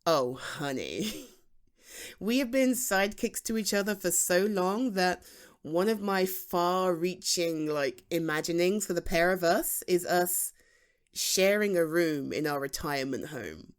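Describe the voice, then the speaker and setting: Giggly British best friend, played by a woman, lively intonation. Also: fairly low pitch. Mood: fond, wistful. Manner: halting, giggly, conversational delivery. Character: playful, affectionate female best friend, giggly and teasing. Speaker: ASMR roleplay voice performer. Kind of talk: intimate, naturalistic audio roleplay addressed to the listener.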